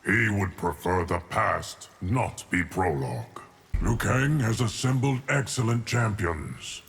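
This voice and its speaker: An actor playing an ancient, solemn immortal guardian of time, speaking formally and with measure in a fighting game. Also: male voice, lowish voice, lively intonation, slow, fairly bright tone, a little hiss or hum, full character voice. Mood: solemn, calm. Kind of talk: scripted fighting game dialogue.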